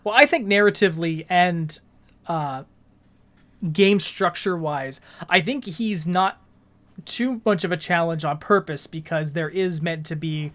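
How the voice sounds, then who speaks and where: A man's voice: on the high side, a bit bright. A man co-hosting a gaming podcast, explaining things earnestly in a casual conversational style. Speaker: podcast co-host.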